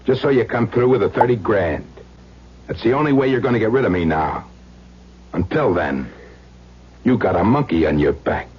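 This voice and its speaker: Lively low-voiced slangy blackmailer played by an actor. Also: male voice, moderate pace, pauses often, lightly acted. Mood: smug menace. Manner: slangy tough-guy talk, taunting. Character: crude blackmailing husband back from presumed death, slangy and menacing. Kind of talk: old-time radio drama acting. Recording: dull lo-fi radio recording, faint hum.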